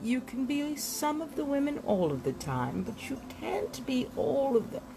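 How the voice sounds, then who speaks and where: A woman reading glossary entries aloud from a book in an expressive style, giving headwords deliberate, dramatic emphasis. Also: high voice. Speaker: reader of a book.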